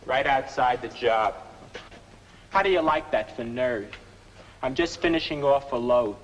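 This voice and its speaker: An actor playing an argumentative working-class truck driver who talks in aggrieved, colloquial phrases in a 1950s film. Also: male voice, medium pitch, animated, measured pace. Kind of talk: 1950s film comic character acting. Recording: noisy, reverberant old film soundtrack.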